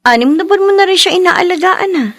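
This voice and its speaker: A woman with a Filipino accent playing a concerned, inquisitive friend in a radio drama, gentle and questioning. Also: very high voice, animated, quick pace, no echo. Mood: concerned, curious.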